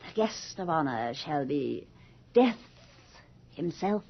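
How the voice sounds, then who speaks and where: Imperious, husky old society hostess. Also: female voice, low-pitched, sing-song, slow, exaggerated voice. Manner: haughty, grand, drawling. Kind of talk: radio drama acting. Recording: muffled 1980s radio drama recording.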